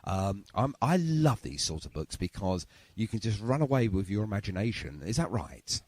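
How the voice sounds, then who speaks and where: A man's voice: fairly low pitch, very animated, normal speed, a little mellow, no echo. A man hosting a radio show in a jokey, rambling, self-deprecating chatty style. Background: music.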